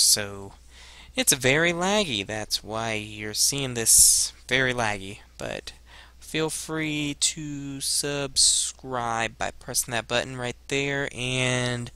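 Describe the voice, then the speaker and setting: A young man giving casual, halting gameplay commentary as a let's play host, rambling and muttering to himself into a headset. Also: mid-range voice, sharp tone, clear, resonant tone, no echo. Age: young adult.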